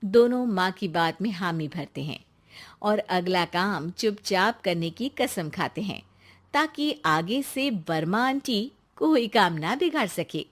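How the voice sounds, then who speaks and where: A woman's voice: mid-range voice, expressive, measured pace. A woman reading scripted narration for a story.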